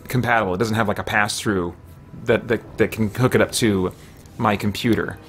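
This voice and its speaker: A middle-aged man with a medium-pitched voice chats casually on a live game stream. He rambles and thinks aloud as he goes, with game music playing behind him. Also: fairly bright tone. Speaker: streamer hosting a gaming marathon. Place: small home room lined with shelves.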